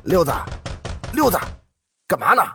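Senior manservant for audio drama, played by a man: brash, mocking, raised pitch, very animated. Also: moderate pace, gravelly, slight background noise, full character voice. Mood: calling out. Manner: brash, teasing with underlings. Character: bossy, mocking male senior servant, servile to his master.

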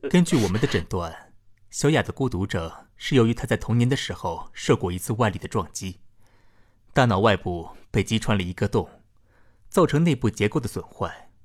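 An actor playing a calm, formal doctor in a science-fiction radio drama, speaking in a measured, polite, clinical way. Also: male voice, lowish voice. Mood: clinical, explanatory. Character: calm, formal male doctor delivering a diagnosis.

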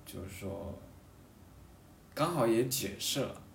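A man chatting casually and reflectively as a guest, thinking aloud in a halting way and often repeating his phrases. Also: medium pitch, lively intonation, creaky, far from the mic. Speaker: podcast guest talking about a hobby of seal carving. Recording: roomy echo, off-mic, faint background noise.

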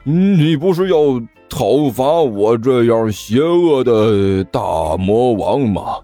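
A man's voice: a little high, very animated, breathy edge, soft background music, pitched above the performer's own voice, exaggerated voice. A man voicing a self-styled great demon king in a comic story, blustering and indignant, stammering and dragging out his words. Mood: furious, challenging. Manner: heavy stammer, drawn-out shouted words.

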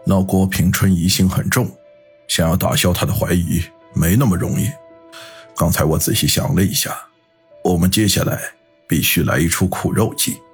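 Man voicing a stern, very husky gangland man. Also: low voice, fairly even intonation, moderate pace, faint music behind, pitched below the performer's own voice, heavily acted. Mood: grim, resolute. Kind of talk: audiobook character acting.

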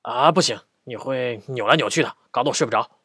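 Woman doing a low-voiced, weary big mouse. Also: fast talker, fairly bright tone, slightly rough, no echo, clean studio sound, exaggerated voice. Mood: grumbling refusal. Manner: grumbling, sighing, matter-of-fact. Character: big grown-up mouse, sleepy, weary, reluctant but patient.

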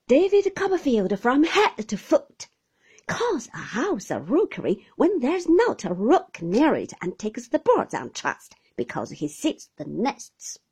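Stern older aunt, read by a woman, brisk, curt, commanding, breathy, slow delivery. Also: medium pitch, wildly swooping pitch, darkish tone, exaggerated voice. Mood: scornful.

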